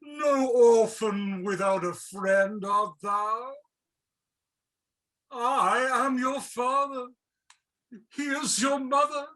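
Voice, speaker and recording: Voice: mid-range voice, drawn-out words, pauses often, fairly bright tone. A performer playing a pompous Justice in a mock tragedy, declaiming grandly as a long-lost parent. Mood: grandly revelatory. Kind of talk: mock-heroic stage tragedy reading.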